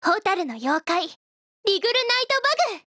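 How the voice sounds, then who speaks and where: A woman voicing a cute, spirited young girl firefly youkai for a mobile game, with a perky, expressive delivery. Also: very high-pitched, moderate pace, no echo, studio quality, heavily acted. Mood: cheerful curiosity. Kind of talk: Japanese mobile game character voice lines.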